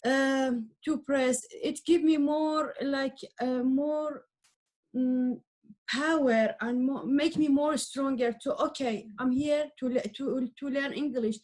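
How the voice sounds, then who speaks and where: A middle-aged woman from Iran whose first language is Arabic, answering interview questions in English with many pauses and restarts as she searches for words. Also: sits high, fairly even intonation, darkish tone. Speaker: adult learner guest.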